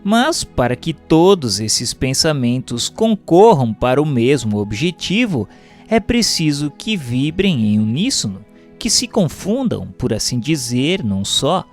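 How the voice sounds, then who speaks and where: A man with a Brazilian accent giving a formal, measured, didactic scripted reading of a religious text as a narrator. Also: medium pitch, very animated. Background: background music.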